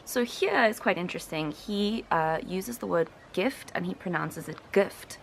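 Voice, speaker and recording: A woman's voice: fairly low pitch, expressive, moderate pace, vocal fry, slight background noise. A woman in her twenties with a South African accent, explaining informally to camera and sounding out vowels as examples. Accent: South African English. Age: 20s. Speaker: linguistics student presenting a reaction video. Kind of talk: casual explanatory talk to camera.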